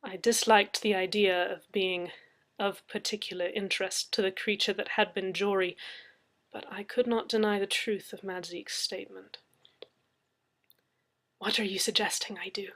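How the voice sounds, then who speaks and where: A woman's voice: mid-range voice, fairly even intonation, normal speed, fairly bright tone. A woman reading first-person story narration as an audiobook reader.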